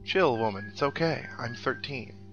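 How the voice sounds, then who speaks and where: A man gives casual, wry commentary on a video game he is playing. He tosses in joking asides as he goes, and game music plays clearly behind his voice. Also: medium pitch, moderate pace, a bit bright, dull audio. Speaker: video game let's player, host. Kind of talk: casual game commentary, reading dialogue aloud.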